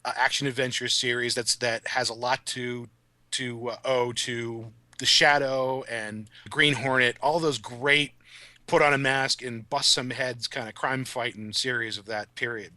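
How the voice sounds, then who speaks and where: A man's voice: mid-range voice, fairly even intonation, unhurried, pushed and bright, no echo. A man speaking as a guest writer in a casual podcast discussion, articulate and enthusiastic. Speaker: guest, writer of audio dramas.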